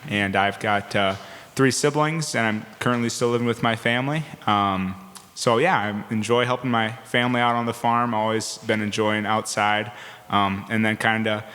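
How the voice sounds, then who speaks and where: A man's voice: lowish voice, fairly even intonation, moderate pace, a bit bright. A young man, easygoing and upbeat, introducing himself at a community workshop. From Minnesota, US. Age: 20s. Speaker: workshop participant. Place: community hall, live event. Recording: long hall reverb.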